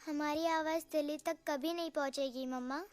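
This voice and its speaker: An earnest little cartoon cub voiced by a performer, plaintive and reproachful. The voice is high and childlike, with faint music underneath. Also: steady tone, normal speed, natural voice. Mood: upset, reproachful. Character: young animal cub, earnest, upset and reproachful. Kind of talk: animated film dramatic acting.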